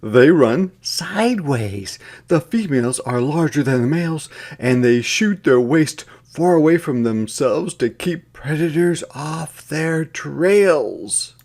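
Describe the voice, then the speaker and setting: Slow-paced man reading aloud to children. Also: a little high, expressive, a little mellow. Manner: deliberate, sing-song counting and repetition for young children. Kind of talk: interactive read-aloud of a children's counting book.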